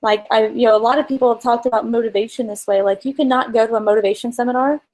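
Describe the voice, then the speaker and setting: A woman's voice: a little high, steady tone, very fast, darkish tone, low-quality recording. A woman co-hosting a casual business coaching chat, talking informally and emphatically and explaining with examples. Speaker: co-host and marketing coach.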